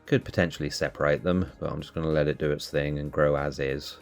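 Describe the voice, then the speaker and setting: A man hosting a cactus channel, sounding nasal from allergies, calmly explaining as he narrates. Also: very deep voice, fast, soft background music. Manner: relaxed, explanatory. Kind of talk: casual voice-over narration.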